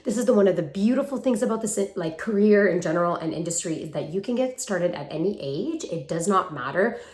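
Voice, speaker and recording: A woman in her twenties speaks to her viewers in a chatty, emphatic style, with a slightly low voice for a woman. She sounds like a casual vlogger giving advice. The phone recording has a clear roomy echo. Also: expressive, a little fast, a little mellow. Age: 20s. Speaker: vlogger and acting coach. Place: room at parents' home, brick wall. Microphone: handheld phone. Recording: roomy echo from a hard-walled room.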